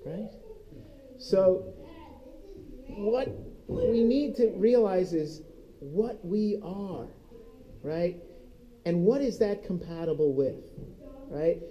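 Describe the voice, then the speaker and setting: A man's voice: high voice, lively intonation, very slow, pauses often, dull audio, a little hiss or hum. A man leading a church Bible study group, teaching conversationally and often asking "right?". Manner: conversational, keeps checking in with "right?". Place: church meeting room.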